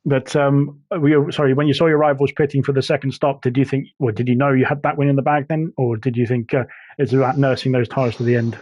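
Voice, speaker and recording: A man commentating a sim racing league, excitable and fond of repeating "indeed" and "then", his voice going hoarse. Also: medium pitch, fast talker, no room sound, dull audio. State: losing his voice. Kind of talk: post-race commentary.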